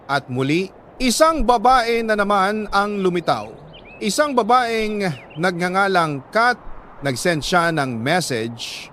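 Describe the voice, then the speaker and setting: A Filipino man reading a listener's letter as a radio host, in solemn, sentimental dramatic narration with deliberate pauses. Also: sits high, animated, bright, forceful tone, slight background noise. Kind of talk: dramatic scripted narration of a confessional love letter.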